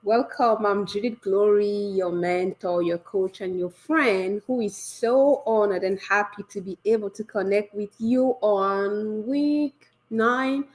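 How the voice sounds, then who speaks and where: A woman leadership coach hosting a live session, slightly raspy from a developing cold, talking with eager, encouraging energy and lots of questions. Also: mid-range voice, slow pace, low-quality recording. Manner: energetic, warm and encouraging, asks many questions. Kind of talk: enthusiastic live coaching talk.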